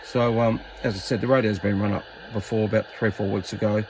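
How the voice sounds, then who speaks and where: A man's voice: lowish voice, flat delivery, fairly quick, background noise. A man giving a casual hands-on demonstration as a radio hobbyist, rambling informally and thinking aloud as he goes.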